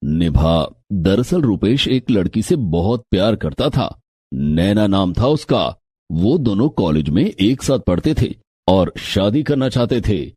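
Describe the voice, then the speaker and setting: Man acting a sober, husky-voiced husband. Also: on the low side, sing-song, unhurried, warm tone, no echo, exaggerated voice. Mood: grave. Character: serious, explaining husband and elder brother. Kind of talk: Hindi moral story character acting.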